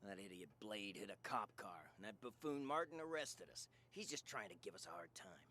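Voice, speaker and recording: A man's voice: lowish voice, expressive, normal speed, bright, forceful tone, a little hiss or hum. A man playing a brash, scornful gangster in an audio drama. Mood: scornful, sly.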